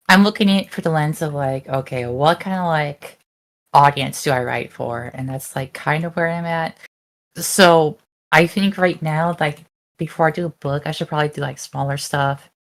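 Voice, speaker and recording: A woman's voice: low-pitched, normal speed. A woman talking casually about her hobbies on an online call, hesitant and full of fillers. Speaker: group chat participant. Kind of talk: casual chat.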